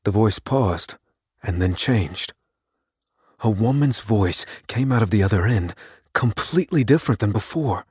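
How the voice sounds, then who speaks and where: A man playing a tense but steady lead character who narrates an audio drama in a measured, dramatic way. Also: fairly low pitch, husky, no room sound, studio quality, exaggerated voice. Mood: tense, wary. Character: unassuming armed man hunting a killer, tense but steady.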